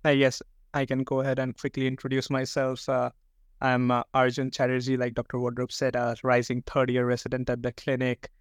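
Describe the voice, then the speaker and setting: A man's voice: medium pitch, completely flat delivery, brisk, clean tone, no echo, clean studio sound. A man in his thirties from India, a medical resident introducing himself in a matter-of-fact way. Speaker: resident guest. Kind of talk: podcast self-introduction.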